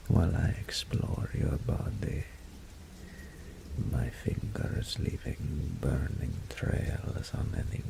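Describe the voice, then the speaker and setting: Brief: a smug incubus demon voiced by a man, very deep and very slow, gravelly whispers, faint rain behind. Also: warm tone, a little hiss or hum, full character voice, poor audio. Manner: slow, purring, seductive, condescending. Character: seductive male greater incubus demon, smug, teasing. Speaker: voice actor. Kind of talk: audio roleplay drama.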